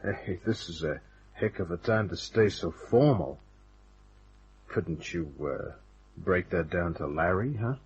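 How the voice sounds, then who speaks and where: A very rough, low-voiced private eye from a radio detective serial. He is wry and hard-boiled, quick to wisecrack. The sound is dull old radio. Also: full character voice. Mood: flirtatious. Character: wry, tough, flirtatious private detective narrating his case. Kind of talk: old-time radio detective drama acting with narration. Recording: dull, band-limited old radio recording.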